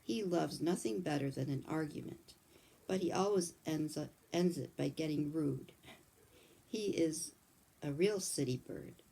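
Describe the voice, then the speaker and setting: A woman's voice: low voice, unhurried, vocal fry, a little hiss or hum, rough recording. A woman reading as a kindly old country doctor. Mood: fond. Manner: calm, chatty storybook read-aloud.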